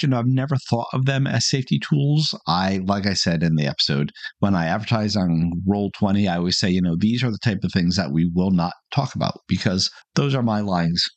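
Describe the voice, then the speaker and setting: A man with a fairly low voice hosts a podcast in a casual, conversational way. He offers his views directly as he answers callers. The recording is very dry. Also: no echo. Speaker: podcast host. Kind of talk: casual podcast talk responding to listener calls.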